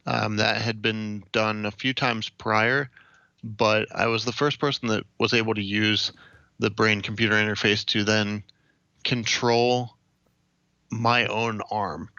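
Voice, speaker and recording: A young American man from Ohio giving measured, explanatory answers as an interview guest. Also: fairly low pitch, flat delivery, creaky, bright, forceful tone, no echo, dull audio. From Ohio, United States. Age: young adult.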